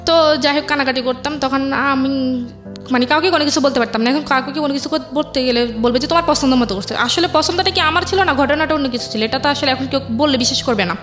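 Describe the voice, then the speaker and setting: A woman's voice: high-pitched, fast, a bit bright, soft background music. A young woman with a Bangladeshi accent telling her life story as an interview guest, in colloquial speech full of repetition and quoted dialogue. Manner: colloquial dialect forms, repeats herself, quotes family members. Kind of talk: personal life story told conversationally.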